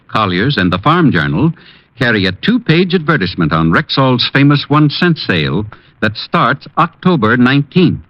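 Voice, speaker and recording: A man's voice: mid-range voice, no echo. A man delivering a scripted 1940s radio commercial as an announcer, with a polished, emphatic sales delivery. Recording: dull vintage radio recording.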